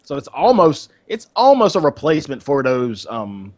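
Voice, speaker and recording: A man's voice: mid-range voice, huge pitch swings, moderate pace, a little mellow. A man co-hosting a casual gaming podcast, talking a little hesitantly and often repeating his phrases. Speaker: podcast co-host. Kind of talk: casual game podcast chat.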